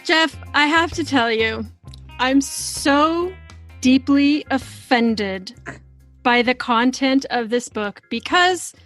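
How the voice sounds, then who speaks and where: High voiced lady doing podcast host chat, really emphatic, bit of music around. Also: takes it slowly, a bit bright. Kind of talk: podcast intro and book chat.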